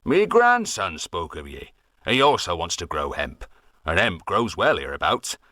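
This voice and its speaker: A man's voice: low voice, huge pitch swings, measured pace, bright, forceful tone, no room sound, clean studio sound, voice pushed down, heavily acted. Guy doing an old west country farmer, rambly folksy grumbly voice, swings up and down a lot. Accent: West Country English. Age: older. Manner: rambling folksy dialect storytelling.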